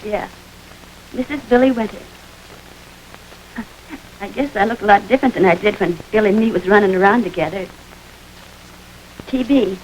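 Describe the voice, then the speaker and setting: An actress playing a bitter, worn-down, poor wife of a convict, plaintive and slangy, in old-time radio drama. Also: female voice, medium pitch, moderate pace, pauses often, lightly acted. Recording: noisy vintage radio recording.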